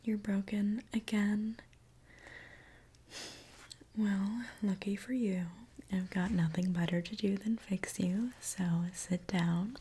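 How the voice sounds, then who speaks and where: A woman whispering softly in an ASMR roleplay as a friendly, caring mechanic, with a gentle, soothing manner. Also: mid-range voice, fairly even intonation. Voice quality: breathy soft whisper. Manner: gentle, unhurried, soothing reassurance. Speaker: ASMR performer playing a robot mechanic.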